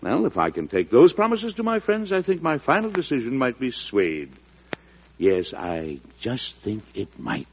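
An actor playing a shrewd, folksy US president in an unhurried, genial, oratorical manner, in old-time radio drama style. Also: male voice, medium pitch, very animated. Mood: shrewd bargaining. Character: shrewd, folksy, wily US president, a seasoned father. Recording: muffled classic radio broadcast recording.

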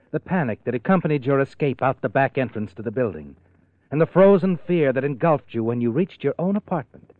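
An actor playing a sardonic, knowing narrator who addresses the listener's guilty conscience in a vintage radio mystery. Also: male voice, mid-range voice, natural voice. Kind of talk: 1940s radio mystery narration, second person. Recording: narrow-band old radio, music behind.

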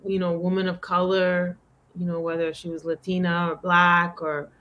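Bronx-accented woman telling animated stories. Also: fairly low pitch, moderate pace, highs cut off. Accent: New York (Bronx). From the Bronx, New York. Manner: animated storytelling, quoting her own thoughts aloud. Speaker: podcast guest. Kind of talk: casual interview conversation.

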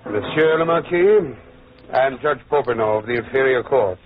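A man playing a calm, probing, dignified French judge in old radio drama style, formal and measured. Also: mid-range voice, natural voice. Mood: grave. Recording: muffled, tinny old radio recording.

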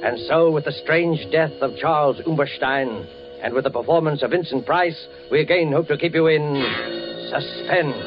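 A man announcing an old radio drama in a formal, measured and ominous way, 1940s style, muffled like an old broadcast over music. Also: a little high. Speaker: show announcer.

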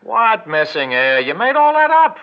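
A gravelly, wisecracking crook. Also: male voice, sits high, wildly swooping pitch, moderate pace, exaggerated voice. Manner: brash, slangy, gravelly. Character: wisecracking small-time male crook, street-smart. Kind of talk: 1940s radio acting. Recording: muffled old radio broadcast with faint noise.

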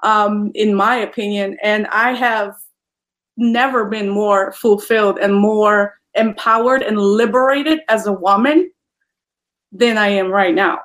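A woman, chatting as an interview guest, full of "you know" fillers and quick to laugh. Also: medium pitch, fairly even intonation, fairly bright tone. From Poland. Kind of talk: casual interview chat.

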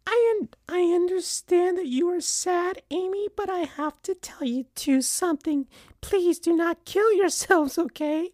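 Grieving cartoon mother, played by a woman: very high pitch, earnest, restrained, consoling. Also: very animated, measured pace, a little mellow, no echo, studio quality, heavily acted. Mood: sad, earnest.

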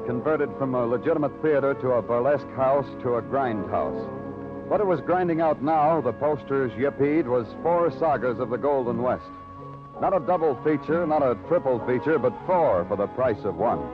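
An actor playing a world-weary New York police detective in a 1950s radio crime drama, clipped, dry and wryly poetic. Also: male voice, mid-range voice, close to an everyday voice. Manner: hard-boiled, clipped questioning, dryly poetic narrating. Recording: old radio recording, muffled, music behind.

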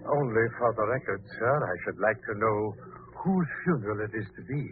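A man's voice: lowish voice, brisk, natural voice. Man playing a deferential undertaker on crackly radio. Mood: polite, businesslike. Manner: polite, obsequious, hesitant. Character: deferential, uneasy funeral parlor owner. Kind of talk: old-time radio drama acting. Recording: muffled, noisy old radio recording.

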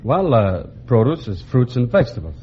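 A man's voice: medium pitch, big pitch swings, normal speed. A man from the Bronx, a contestant giving short, plain answers to a quiz host. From the Bronx, New York. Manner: terse, plain-spoken answers. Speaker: quiz show contestant, produce clerk. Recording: muffled, slightly noisy old radio.

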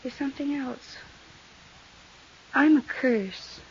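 An actress playing a haunted, unstable young widow with deliberate, intense delivery and loaded pauses in a 1950s radio drama. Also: female voice, sits high, a little mellow. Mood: haunted, bitter. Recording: muffled, hissy vintage radio recording.

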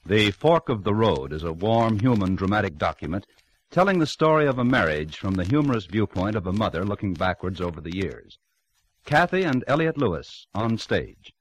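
A male announcer from vintage network radio. His low voice is husky and swings widely in pitch. He reads in a formal, polished, scripted style. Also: big pitch swings, brisk, low-quality recording.

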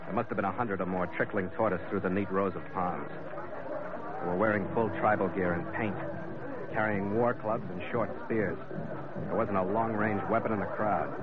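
남자 배우가 연기하는 거칠고 무뚝뚝한 화물선 선장. 짧게 끊어 말하는 하드보일드 말투로, 전화처럼 얇은 옛 라디오 음질이다. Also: male voice, fairly low pitch, steady tone, moderate pace, a loud crowd, natural voice. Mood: narrating. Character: tough, blunt male cargo skipper. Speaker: narrator and lead, Carney. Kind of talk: 1940s radio acting. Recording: tinny old radio broadcast.

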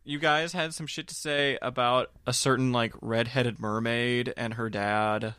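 A man of average pitch talks on a podcast, recorded with no room sound. He discusses a film casually, leaning on crude jokes and sarcastic remarks. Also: fairly even intonation, a little slow, sharp tone, clear, resonant tone, dry recording. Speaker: podcast panelist.

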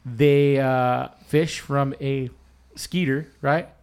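A man's voice: medium pitch, steady tone, very slow, a little mellow, clear, resonant tone. A man hosting a fishing podcast, chatting loosely with jokes, fillers and swearing. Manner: loose, joking and cursing, with many fillers. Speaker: podcast host. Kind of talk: casual podcast chat.

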